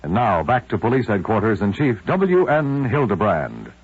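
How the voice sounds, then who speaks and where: An American man announces with big swings of pitch and a slightly husky voice. The delivery is dramatic old-time radio, on a dry, very tinny recording. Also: very animated, normal speed, no room sound. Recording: tinny, narrow-band 1950s radio recording.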